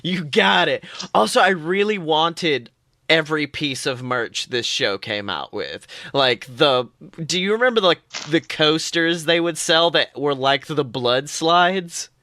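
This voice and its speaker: A young adult podcast co-host chatting casually about fandom in an animated, exaggerated and joking way. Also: medium pitch, measured pace, dry recording. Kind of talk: casual fan podcast chat.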